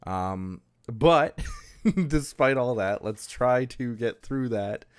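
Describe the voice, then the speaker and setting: A man's voice: mid-range voice, expressive, slow, smooth. A man hosting a let's-play, talking casually through a blocked-up sinus, hesitant and full of ums and restarts. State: stuffed up with a sinus infection. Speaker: Minecraft server let's-play host. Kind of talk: casual gameplay commentary.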